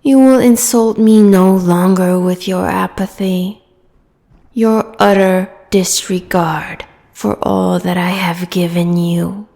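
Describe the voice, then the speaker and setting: Woman doing a giant sea goddess, haughty n menacing, super slow, big cavernous reverb, audio roleplay. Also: medium pitch, darkish tone. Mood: threatening. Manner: slow, haughty, theatrical, breathy whispered phrase endings. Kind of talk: scripted fantasy audio roleplay monologue.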